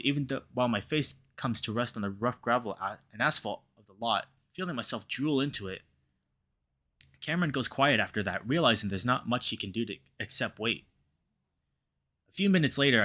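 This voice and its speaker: A man voicing a battered, weary animal hero in measured, dramatic first-person visual novel narration. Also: mid-range voice, pushed and bright, pitched below the performer's own voice. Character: battered, weary, stoic male animal narrator, gently reassuring.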